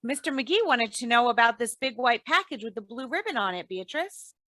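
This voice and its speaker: A woman plays a patient, kindly wife from an old radio comedy. Her voice is high and bright, genteel and gently exasperated, heard dry and close. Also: lively intonation, a little fast, dry recording. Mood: curious.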